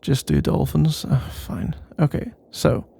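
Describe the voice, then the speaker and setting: A man's voice: fairly high pitch, big pitch swings, slow, vocal fry, dark tone, husky. A man doing a casual, rambling ASMR boyfriend roleplay in a playful, mock-boastful and meandering way.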